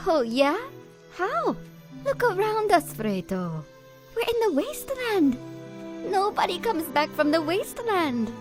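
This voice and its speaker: A woman's voice: extremely high pitch, very animated, unhurried, music in the background, heavily acted. A woman playing a proud, dramatic cartoon singer heroine who sprinkles her speech with Spanish. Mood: hopeless. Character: feisty, proud, self-doubting woman singer, defiant underdog. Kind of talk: animated cartoon voice acting.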